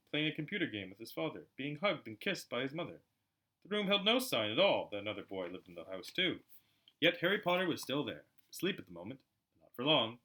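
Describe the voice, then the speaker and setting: A man with a very bright, forward voice reads a story aloud. His pitch moves widely and animatedly at a middle register, in the informal way of a home audiobook reader. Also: low-quality recording. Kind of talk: animated storybook narration with chatty asides.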